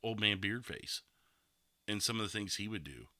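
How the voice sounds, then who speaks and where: A man's voice: on the low side, lively intonation, moderate pace, bright, forceful tone, dry recording, clean studio sound. A man hosting a casual livestream podcast, chatting in a rambling way and reading out viewer comments. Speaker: podcast host and streamer.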